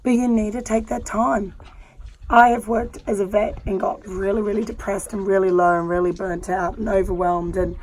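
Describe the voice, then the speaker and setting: A woman's voice: medium pitch, steady tone, moderate pace, darkish tone, poor audio. An Australian woman who has lost most of her voice, talking candidly and from the heart while sitting on the ground out in a horse paddock. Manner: candid and heartfelt, with many fillers. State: hoarse, losing her voice. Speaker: horse rider and vlogger. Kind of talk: casual vlog talk to camera.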